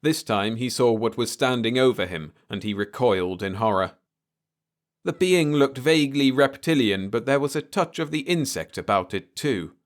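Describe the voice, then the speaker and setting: A man's voice: mid-range voice, lively intonation, moderate pace. A man narrating a scripted story as an audiobook reader.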